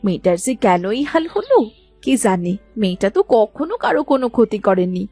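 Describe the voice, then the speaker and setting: A woman's voice: medium pitch, sing-song, fairly quick, mellow. A woman playing a guarded, nervous village sister-in-law in a cartoon story, speaking in a chatty but evasive way. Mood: anxious, confiding. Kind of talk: dramatized Bengali cartoon story dialogue. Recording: music behind the voice.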